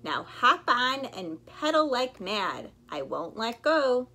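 Woman reading as a patient, slow-talking storybook dad frog. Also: a little high, big pitch swings, sharp tone, exaggerated voice. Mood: encouraging. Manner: coaxing, instructive. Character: encouraging, patient adult father frog.

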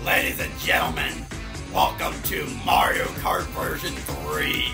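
A man's voice: extremely low pitch, very animated, measured pace, gravelly, exaggerated voice. A man voicing a blustering, middle-aged mad scientist villain in a comedic fan dub, rambling and making things up as he goes. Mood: rambling pompous. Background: game music.

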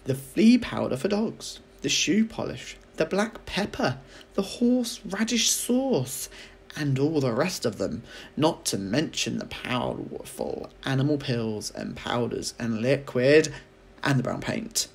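A man, a teacher reading a children's audiobook aloud as an expressive storyteller. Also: medium pitch, a little slow, vocal fry, husky.

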